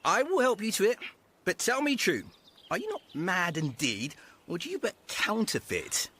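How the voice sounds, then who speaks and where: Bright, animated, mocking jester. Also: male voice, high-pitched, moderate pace, breathy edge, exaggerated voice. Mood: teasing. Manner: mocking, theatrical. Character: mischievous jester posing as a pompous mocking curate. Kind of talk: classical radio drama acting in Shakespearean English.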